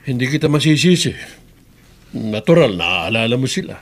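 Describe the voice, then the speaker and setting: A man's voice: mid-range voice, very animated, normal speed. A man playing a concerned, plain-spoken workmate and friend in a Filipino radio drama, with a Filipino accent. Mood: consoling.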